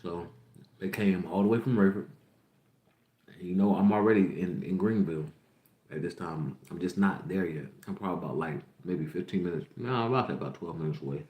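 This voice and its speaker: Deep-voiced young man telling his story. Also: brisk, echoey room, phone-quality sound. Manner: candid, rambling, repeats himself. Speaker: podcast host. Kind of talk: personal storytelling to a livestream audience.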